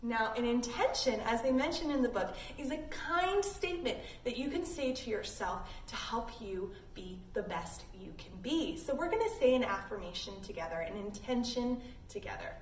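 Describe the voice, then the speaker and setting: A woman's voice: on the high side, lively intonation, moderate pace, few pauses. A woman leading a children's read-along yoga lesson, reading expressively and giving simple, encouraging instructions to young children. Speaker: children's yoga teacher reading a picture book aloud. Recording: echoey room, distant mic, background noise.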